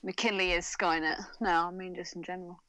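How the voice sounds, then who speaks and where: A woman's voice: fairly low pitch, level tone, brisk, a bit bright, no echo, poor audio. A woman co-hosting a podcast, chatting casually with an informal, teasing manner. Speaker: podcast co-host. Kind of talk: casual bantering chat. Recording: dry, music behind the voice.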